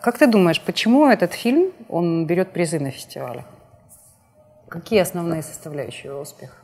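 Woman host asking questions in a studio, kinda low raspy voice. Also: animated, a little fast, darkish tone, soft background music. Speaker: interviewer, show host. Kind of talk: interview questions. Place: TV studio, seated at a desk.

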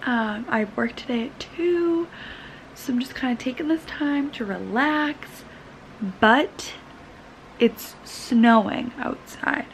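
A young woman vlogging casually from her bed with a croaky morning voice and a relaxed, chatty manner full of fillers, filmed on a camera held at arm's length. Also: fairly high pitch, slow pace, slight background noise, rough recording. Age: young adult. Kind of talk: casual vlog chat. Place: bedroom, in bed. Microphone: handheld camera at arm's length.

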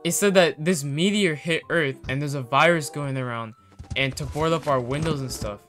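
A young man acting as an excitable zombie apocalypse survivor in an amateur game roleplay. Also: fairly high pitch, moderate pace, soft background music, close to an everyday voice. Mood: uneasy, casual. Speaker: lead player in a game roleplay video.

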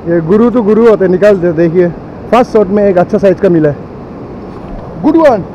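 A high-pitched man talks casually to his camera outdoors, exclaiming and repeating short phrases. The sound is dull, with loud river water rushing behind him. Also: slight background noise. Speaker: vlogger. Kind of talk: casual outdoor vlog commentary. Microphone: handheld camera microphone.

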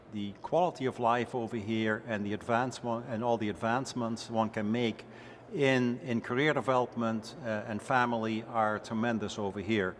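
An older man with a Dutch accent, a professor giving a measured on-camera statement into a handheld mic, pausing often and repeating words as he searches for them. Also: lowish voice, fairly bright tone, a little hiss or hum. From Netherlands. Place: large indoor hall with wood panelling. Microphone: handheld mic held close. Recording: reverberant hall with faint ambience.

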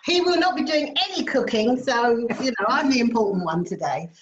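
A high-voiced woman from England in her fifties introduces herself on a group video call. She jokes about herself and laughs a lot. The audio is muffled and lo-fi. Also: expressive, brisk, a crowd in the background. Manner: jokey, self-mocking, laughs often. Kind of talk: casual chat. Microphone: video call laptop mic.

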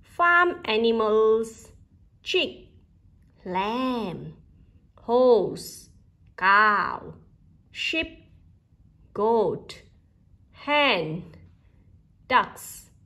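A woman presenting a read-aloud for toddlers, saying single words and short phrases deliberately, with pauses between them. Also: on the high side, sing-song, sharp tone.

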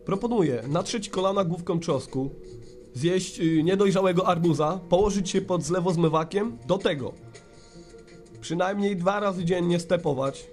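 A man's voice: high voice, normal speed, darkish tone, faint music behind, lightly acted. A man playing a mock-serious, wordy and theatrically polite phone-in host in a parody radio play. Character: glib, mock-solemn male radio phone-in host, secretly fed up.

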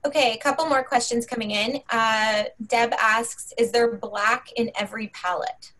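A woman's voice: a little high, fairly even intonation, moderate pace, a bit bright, low-quality recording. A woman moderating a webinar over a video call, informally relaying questions.

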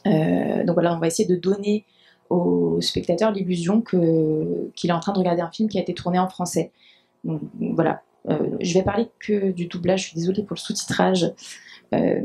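A woman in her thirties speaks on a panel in a university lecture hall, holding the mic in her hand. Her voice is mellow and a little gravelly. She talks in a hesitant way, with many fillers between her words. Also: fairly low pitch. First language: French. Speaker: dubbing adapter speaking on a panel. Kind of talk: conference panel talk. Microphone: handheld mic.

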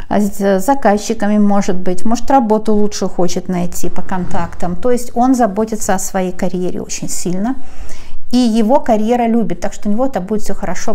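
A woman's voice: mid-range voice, warm tone. A woman giving a casual tarot reading to viewers, explaining cards as she handles them and reading English card text with a Russian accent. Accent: Russian, when reading English. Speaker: tarot reader. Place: at a wooden table, overhead camera on the cards.

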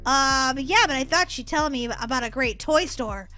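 The woman you'd hear on a kids' gaming channel, girlish and dramatic, her high voice leaping about as she reacts to every move of the game. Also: lively intonation, moderate pace, bright, forceful tone, soft background music. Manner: exclamatory, squealing, dramatic, girlish. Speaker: gaming video host. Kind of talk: excitable game commentary.

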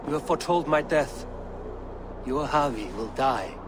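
An actor playing a proud, defiant Norse high god in a video game, commanding and solemn. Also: male voice, expressive, measured pace, noisy background, natural voice. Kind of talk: video game cutscene acting. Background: loud music.

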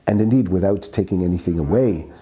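A middle-aged man, a researcher, giving a formal, measured talk at a lectern in a seminar room. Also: sits low, mellow.

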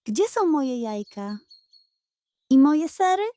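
A wary female storybook goat, performed by a woman, grumbling and accusing. The voice sits high, with a soft tone and pointed delivery. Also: sing-song, slow, no echo, exaggerated voice. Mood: indignant.

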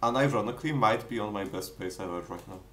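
A man in casual voice chat during a speedrun race, excited and swearing as he banters with friends. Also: fairly low pitch, fast talker.

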